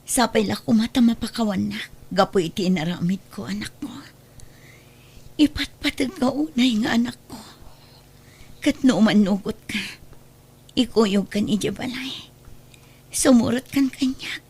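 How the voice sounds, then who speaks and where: A woman's voice: a little high, measured pace, pauses often, a little mellow, slightly rough. A woman with a Filipino Ilocano accent playing a battered, remorseful mother, tearful and pleading in a melodramatic radio drama. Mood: weak, whispered pleading. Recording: music bed under radio drama dialogue.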